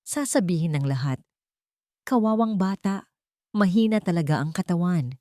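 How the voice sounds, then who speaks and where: A woman narrator plays a scheming, cruel woman in an audio drama. She sounds sweet on the surface and cold underneath, with lively, swinging pitch and a close, dry sound. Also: normal speed, no echo, lightly acted. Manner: sugary, cold, irritated. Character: sweet-voiced scheming cruel young woman, impatient and disgusted. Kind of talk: Tagalog audio drama storytelling.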